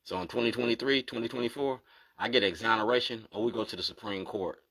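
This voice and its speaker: Man, lowish voice, insistent, talking to camera, activist monologue. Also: quick pace, gravelly, a bit bright, rough recording. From Baltimore, USA. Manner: insistent, repeating "you feel me?". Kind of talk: direct-to-camera monologue going through documents.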